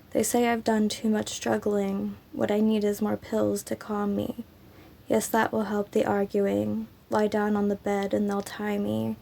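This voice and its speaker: A woman with a raspy, under-the-weather voice reads her own poetry at a slow, measured pace. Her tone is gravelly and stays flat. She fills the gaps between poems with easygoing chat. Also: medium pitch. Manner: slow, even, unhurried delivery with frequent ums. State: sick, raspy throat. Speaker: poetry channel host.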